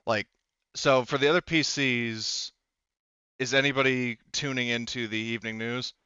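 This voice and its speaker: A man narrating a tabletop game as game master in a halting, informal, improvised way. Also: mid-range voice, fairly even intonation, bright, forceful tone, smooth, dry recording, lo-fi sound. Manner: halting, informal, swears casually.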